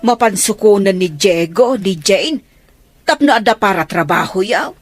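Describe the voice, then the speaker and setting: A woman's voice: medium pitch, expressive, moderate pace, soft background music, close to an everyday voice. An actress playing a chiding mother who scolds her grown daughter in a radio drama.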